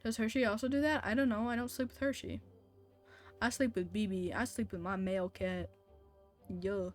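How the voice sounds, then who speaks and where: A woman's voice: medium pitch, fairly even intonation, normal speed, soft background music. A woman live streamer chatting casually while gaming, hesitant and thinking aloud as she talks to viewers.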